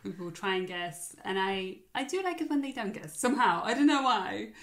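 A woman's voice: medium pitch, animated, brisk. Polish woman with a British-tinged accent. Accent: Polish, with British-sounding elements. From Poland. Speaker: podcast co-host. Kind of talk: casual chat.

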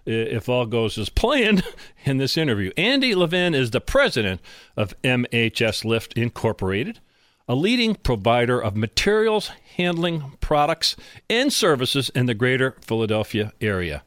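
Male interviewer, lively swooping intonation, informal conversational delivery, dry studio-close sound. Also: medium pitch, normal speed, dry recording. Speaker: interview host. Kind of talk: conversational business show interview.

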